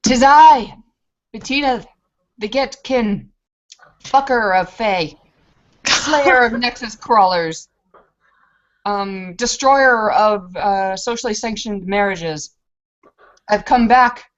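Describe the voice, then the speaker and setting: A woman's voice: on the high side, slow pace, pauses often, lo-fi sound. A woman playing a boastful female warrior of werewolf kin, speaking in a grand mock-heroic manner.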